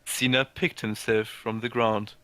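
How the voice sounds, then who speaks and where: A man narrating amateur fan fiction, halting and stumbling over words as he reads aloud. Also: fairly low pitch, level tone, pushed and bright, clean tone, dry recording.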